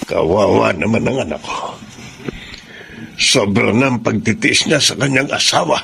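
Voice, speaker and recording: An actor playing a protective older father in a radio drama, speaking gravely, deliberately and with emphasis. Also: lowish voice, animated, a bit bright, exaggerated voice. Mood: troubled, indignant. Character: protective, grave older father exposing his son-in-law's affair. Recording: clear background noise.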